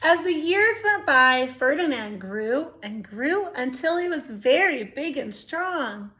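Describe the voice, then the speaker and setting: A woman's voice: sits high, very animated, slow pace, pushed and bright. A woman reading a picture book aloud to young children, expressively, with exclamations, asides and questions to her listeners. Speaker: storytime reader.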